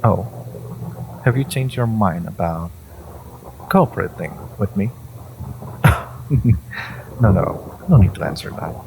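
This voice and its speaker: A man playing an ancient, possessive hive-mind entity in an audio drama, condescending and theatrical, with amused menace and a low, creaky voice. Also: lively intonation, darkish tone, slight background noise, full character voice, low-quality recording. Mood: coaxing menace. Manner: theatrical, condescending, halting with drawn-out menace and chuckles. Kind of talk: scripted audio roleplay addressed to the listener.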